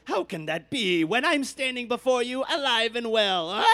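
Woman doing a creepy scarecrow spirit, slow drawl, high sing-song, cackles. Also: sing-song, vocal fry, fairly bright tone, heavily acted. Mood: taunting. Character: sinister creeping straw man spirit.

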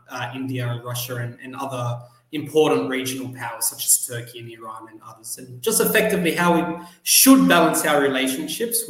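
A man's voice: mid-range voice, a bit bright. Australian man explaining, echoey room. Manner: measured explainer with fillers like um and uh. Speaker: guest, journalist and PhD student. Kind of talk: explanatory interview answers.

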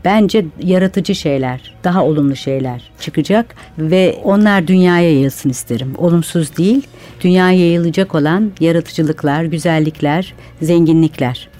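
A woman's voice: sits low, moderate pace, mellow, soft background music. A woman, a guest author on a radio interview, answering with enthusiastic agreement. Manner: enthusiastic, affirming.

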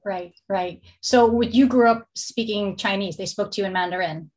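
A woman's voice: mid-range voice, moderate pace. A woman hosting an interview, reading a guest's bio and then chatting with easy laughter. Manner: reads bio, then chats warmly with laughs. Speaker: podcast host.

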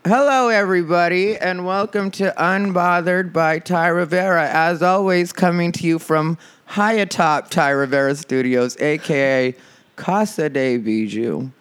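A nasal man hosting a podcast, his voice sitting a little higher than most men's. He talks in a loose, chatty way, laughs often and acts out other people's words as he tells a story. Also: a little slow, a bit bright, clean tone. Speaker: podcast host. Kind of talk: casual chat.

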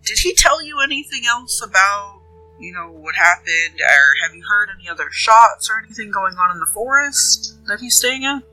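A woman's voice: medium pitch, animated, normal speed, sharp tone, no room sound, rough recording. A woman playing an earnest police detective in a role-play drama, asking probing questions politely and rephrasing them tentatively as she goes. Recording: music under the voice.

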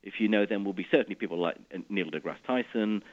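Low-voiced middle-aged guy from iraq, physicist guest, keeps restarting words, muffled radio sound. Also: fast talker. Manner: restarts and repeats words while explaining. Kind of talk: explanatory interview talk.

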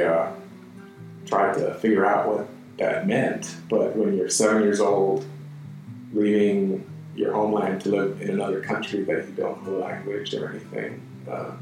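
Interview guest: man from Chile, low voice, hesitant and reflective, recorded distant and echoey. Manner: thoughtful, halting, many restarts and fillers. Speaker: guest, architect and screen printer. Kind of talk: relaxed conversational interview.

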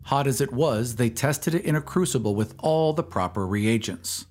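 A man reads a scripted horror story as a dramatic narrator, in a deliberate, literary storytelling manner. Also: medium pitch, lively intonation, a little mellow, soft background music. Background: ambient sound effects.

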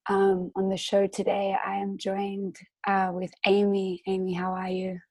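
A woman hosting a podcast interview, her voice hoarse and croaky, speaking gently and politely. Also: medium pitch, monotone, unhurried, no room sound. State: hoarse, lost voice. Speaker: podcast host.

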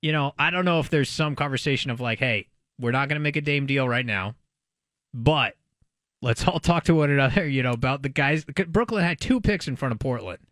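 A man's voice: mid-range voice, expressive, a little fast, fairly bright tone, dry recording, clean studio sound. A man chatting casually as a sports radio commentator, informal and speculative.

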